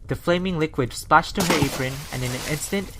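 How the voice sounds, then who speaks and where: A narrator and newscaster reading a 1930s-style radio story and news report in a steady, scripted way. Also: medium pitch, brisk, background noise. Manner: steady, read-from-script delivery.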